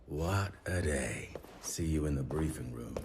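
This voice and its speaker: An actor playing a rough, seasoned frontier agent in a video game, plain-spoken, folksy and salty. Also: male voice, very deep voice, animated, measured pace, noisy background. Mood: weary, irritated. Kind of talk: video game cutscene acting. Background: music.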